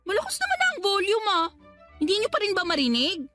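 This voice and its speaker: A woman's voice: extremely high pitch, wildly swooping pitch, a little fast, pushed and bright, full character voice. A woman playing a teasing young Filipina daughter in a radio drama, playful and sarcastic. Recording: light background music under dialogue.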